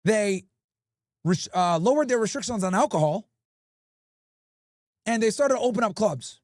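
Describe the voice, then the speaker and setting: A man's voice: high-pitched, moderate pace, no echo, clean studio sound. A male livestream host giving brash, profane commentary and telling stories with lots of swearing. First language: English. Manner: brash, profane, animated storytelling.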